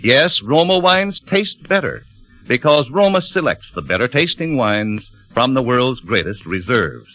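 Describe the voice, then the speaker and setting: A man's voice: medium pitch, sing-song, unhurried. A man announcing on 1940s radio with a polished, emphatic sponsor's delivery. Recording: dull vintage radio broadcast, faint music.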